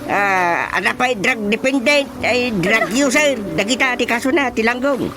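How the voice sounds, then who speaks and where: A man's voice: very high-pitched, measured pace. A man acting a stern, blunt village chairman in a radio drama, declaiming accusations. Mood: accusing. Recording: radio drama with music behind.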